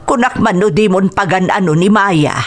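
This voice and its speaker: A woman's voice: mid-range voice, moderate pace, lightly acted. A woman acting in an Ilocano radio drama as a reproachful but caring mother of a grown son, with an Ilocano accent and music underneath. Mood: scolding, concerned.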